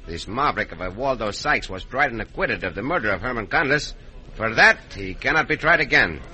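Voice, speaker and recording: A courtly Mexican gunfighter from a classic radio western. His voice is very bright and forceful, with lively, swinging intonation. He speaks formally and deliberately, calm in command. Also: mid-range voice, faint music behind, rough recording. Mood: firm, commanding. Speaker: radio drama lead actor. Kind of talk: old-time radio western acting.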